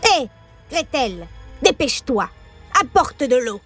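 A woman's voice: sits high, very animated, slow pace, husky, faint music behind, exaggerated voice. A woman voicing a cruel, cackling old witch who eats children, in a storybook reading. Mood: harsh. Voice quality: cackling, gloating.

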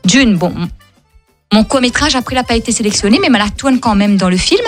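A woman's voice: fairly high pitch, a little fast, faint music behind. A woman from Réunion with a Réunionese accent, Creole as her first language, chatting as a comedian guest and telling stories with lots of repetition and laughter. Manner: lively, repetitive, laughs often. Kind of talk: casual storytelling interview.

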